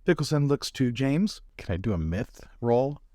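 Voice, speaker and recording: A man's voice: medium pitch, sing-song, moderate pace, dark tone, no room sound. A man talking casually as a player in a tabletop game, describing what his character does.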